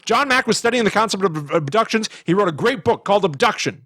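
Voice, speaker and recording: A man hosting a podcast in casual conversation, retelling things and slipping into animated quotes of other people. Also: high-pitched, fast, no echo. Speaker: podcast host. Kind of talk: casual chat, retelling and quoting.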